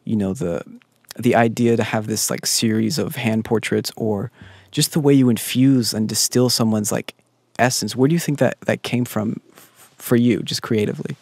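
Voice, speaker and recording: A man's voice: medium pitch, moderate pace, a little mellow, no room sound. A man hosting an interview, asking earnest and enthusiastic questions in casual chat. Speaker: podcast host.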